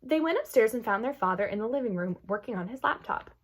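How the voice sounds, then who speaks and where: A woman reading a children's chapter book aloud as an expressive storyteller. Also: a little high, fast talker, slight background noise.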